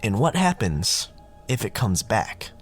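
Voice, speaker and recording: A man's voice: fairly low pitch, very animated, slow pace, loud music behind the voice. A man narrating a horror story in a casual, wry, conversational way, as the host of a storytelling podcast.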